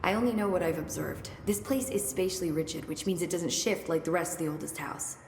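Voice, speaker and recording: A woman playing a candid, analytical scientist with dry humour in a video game cutscene, explaining things in a measured way. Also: on the low side, vocal fry, a little mellow. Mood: analytical, wry. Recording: echoey hall, long reverb, background noise.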